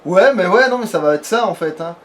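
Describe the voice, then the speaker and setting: A man's voice: high voice, expressive, brisk, a bit bright. A man giving casual let's play commentary, rambling and playful, swearing now and then. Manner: rambling, playful, mildly foul-mouthed. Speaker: let's player, playing and hosting the video.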